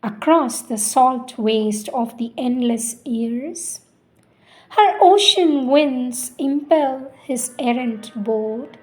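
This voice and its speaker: A woman reciting spiritual poetry in a solemn, measured, deliberate way with pauses between phrases. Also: on the high side, darkish tone, rough recording. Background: music.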